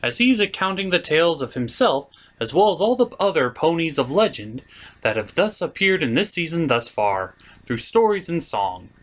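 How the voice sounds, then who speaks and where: A man's voice: a little high, big pitch swings, normal speed. A man giving a scripted comic episode recap in a theatrical manner, as a reviewer and puppeteer. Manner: theatrical, slipping into character voices.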